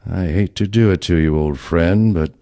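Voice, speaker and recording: A man acting as a sly, crusty elderly grandfather in an audio play, speaking in a deliberate, halting way. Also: sits low, warm tone. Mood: coldly scheming. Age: older.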